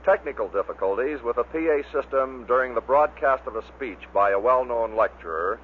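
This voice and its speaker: A man's voice: medium pitch, lively intonation, fairly quick. An American man reading scripted narration that introduces a series of clips. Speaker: narrator of a bloopers record. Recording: tinny band-limited vintage record, faint noise.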